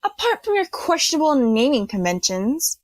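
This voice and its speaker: Woman acting a high-pitched, haughty young heiress. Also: big pitch swings, moderate pace, no echo. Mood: exasperated, scolding. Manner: dry, sardonic, scolding. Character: haughty, dry-witted rich young female student.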